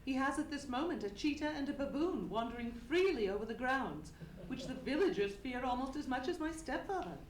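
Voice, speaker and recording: A woman's voice: high voice, lively intonation, fairly quick. A woman playing a frightened, distraught young Englishwoman who tells her story formally and dramatically in an old-time radio mystery. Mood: fearful. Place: theatre stage, live radio play performance. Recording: echoey live theatre, faint noise.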